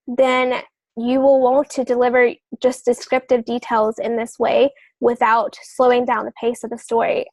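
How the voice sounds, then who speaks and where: A woman explaining things as a podcast guest, working through points with examples and pausing to rephrase as she goes. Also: a little high, no room sound, poor audio. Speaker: podcast guest novelist giving writing advice.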